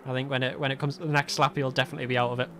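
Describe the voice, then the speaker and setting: A young man commentating a sim race alone, chatty and self-mocking, laughing often, his voice going a little hoarse. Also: mid-range voice, steady tone, racing, heavy background noise. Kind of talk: solo sim racing commentary. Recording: game car sounds behind.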